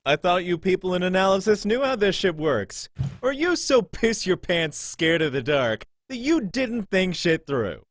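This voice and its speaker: A man's voice: high voice, big pitch swings, moderate pace, no room sound, clean studio sound. A man playing an irritable, foul-mouthed security guard in a visual novel, sneering and impatient. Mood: scornful, irritated.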